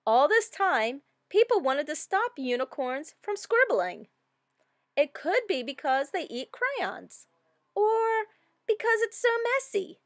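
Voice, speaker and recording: A woman reading a children's story aloud with expressive, dramatic emphasis. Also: sits high, slow, no room sound, clean studio sound. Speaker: storybook reader.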